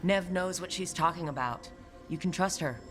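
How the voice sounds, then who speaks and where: A young woman leading adventurers in a fantasy game, played by an actress. Her voice is on the low side, wry and friendly, teasing yet encouraging, with music behind. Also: normal speed, natural voice. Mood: wry, supportive. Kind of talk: natural game acting.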